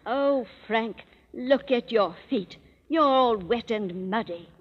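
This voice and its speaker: A woman's voice: on the high side, animated, takes it slowly, a little hiss or hum. A fussy grandmother. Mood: chiding. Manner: chiding, homely. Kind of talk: 1930s radio ad skit. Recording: dull old radio broadcast.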